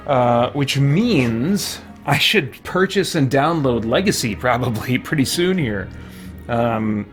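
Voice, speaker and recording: A male let's player talks through his game in a casual, musing way. His voice sits at an average pitch, and he thinks aloud as though talking to himself. Faint music plays underneath. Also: lively intonation, slow pace.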